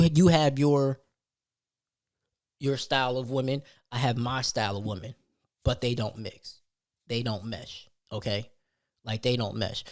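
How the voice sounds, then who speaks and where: Guy podcaster ranting casually, mid pitched voice, lots of slang, keeps repeating stuff for emphasis. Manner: emphatic, slangy, repeats phrases and rhetorical questions. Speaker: podcast host telling a personal story. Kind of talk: casual solo rant.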